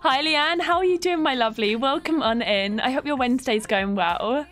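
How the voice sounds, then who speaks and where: A young woman streaming a life-sim game from home, chatting warmly with her viewers while stuffed up with a cold. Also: high voice, normal speed. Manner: chatty, affectionate toward chat, giggly. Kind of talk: live game stream chat.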